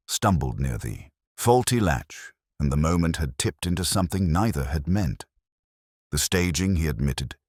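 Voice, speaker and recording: A man narrating a scripted first-person detective story in a solemn, measured, literary manner. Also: very deep voice, breathy edge, dry recording, studio quality. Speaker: audio drama narrator.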